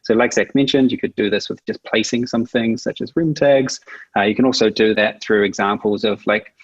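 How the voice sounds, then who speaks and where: A man's voice: on the low side, fairly even intonation, moderate pace, slightly rough, dry recording, dull audio. A man with a New Zealand accent, explaining things conversationally as an interview guest. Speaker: guest expert, product manager. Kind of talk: casual explanatory interview talk.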